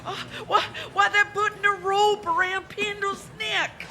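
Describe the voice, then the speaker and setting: A woman's voice: very high voice, level tone, unhurried, sharp tone, slight background noise, full character voice. A woman playing an earnest, folksy male deputy in a Western radio drama, protesting with a slight stammer. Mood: worried, protesting.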